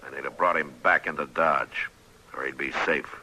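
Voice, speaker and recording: A noisy old radio western recording carries a stern American frontier lawman. The voice sits very deep yet cuts sharply. He is terse and gruff, a weary authority who expects to be obeyed. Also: fairly even intonation, normal speed, sharp tone, exaggerated voice. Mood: stern lecturing.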